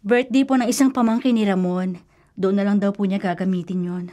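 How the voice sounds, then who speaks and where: An actress playing a stubborn, superstitious elder sister, insistent and fretful, in a radio drama. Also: female voice, medium pitch, steady tone, a little fast, mellow, lightly acted. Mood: glum. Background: faint music.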